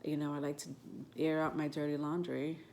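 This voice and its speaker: Stuffed-up woman vlogger with a low voice, loose and rambling delivery, filler-heavy, slight room hiss. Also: little pitch movement, fairly quick, dark tone. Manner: rambling, frequent ums and self-corrections. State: stuffed up nose. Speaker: tarot and astrology vlogger. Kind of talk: casual talk to camera.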